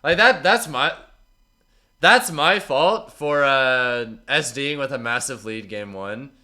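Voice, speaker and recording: A man with a stuffy, congested voice from a cold, chatting casually with his stream viewers and reading out their messages in a relaxed, rambling way. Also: mid-range voice, slow. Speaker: streamer analyzing tournament matches.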